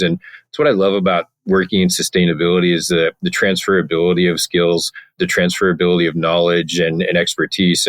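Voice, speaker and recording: A man with a deep, level voice talks through his thoughts as a sustainability partner. He rambles, restarts often, and leans on fillers. The sound is dry and close. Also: a bit bright, no echo. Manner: rambling, frequent "you know" fillers. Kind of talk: conversational panel talk.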